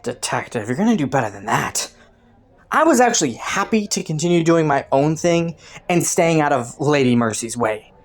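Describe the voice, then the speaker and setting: A woman's voice: low voice, expressive, moderate pace. A woman voicing a clever, flirty underworld rogue in an audio roleplay, teasing and taunting a detective with sardonic, sultry playfulness. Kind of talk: roleplay audio drama, intimate monologue to the listener.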